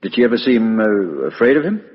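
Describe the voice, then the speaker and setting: An actor playing a brisk, blunt British police inspector in a 1950s radio drama. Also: male voice, on the low side, very animated. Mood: brisk, probing. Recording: muffled vintage radio broadcast, reverberant studio.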